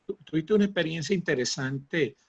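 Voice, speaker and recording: A man's voice: fairly high pitch, expressive, a little fast, creaky, husky. A man hosting an interview, asking his questions conversationally on a slightly dull recording. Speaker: interview host.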